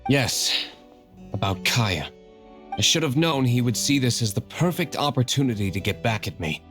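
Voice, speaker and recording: A man's voice: medium pitch, expressive, normal speed, a bit bright, natural voice. An actor playing an aloof, brooding young nobleman in a video game, speaking in a reserved, formal and terse way. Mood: guarded. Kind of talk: video game character acting. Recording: game music behind the voice.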